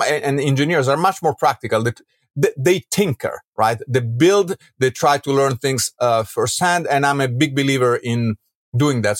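A man's voice: mid-range voice, no room sound. Italian man in a dry, close recording. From Rome, Italy. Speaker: podcast guest, entrepreneur and author. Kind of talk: conversational interview.

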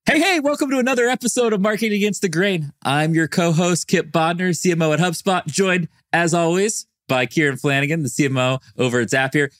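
A man co-hosting a podcast, upbeat and energetic in casual chat, laughing often. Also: on the high side, brisk, few pauses, no room sound, clean studio sound. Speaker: podcast co-host.

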